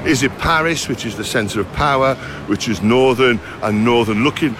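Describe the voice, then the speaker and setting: A man's voice: medium pitch, moderate pace, background noise. A middle-aged man from Liverpool with a Scouse accent, presenting a travel documentary in a chatty way that talks straight to the viewers. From Liverpool, England. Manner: chatty, direct address to viewers.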